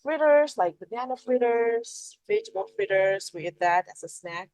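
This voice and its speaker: A woman's voice: medium pitch, expressive, moderate pace, a little mellow. A woman, chatting casually as a podcast guest and explaining food customs. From Indonesia.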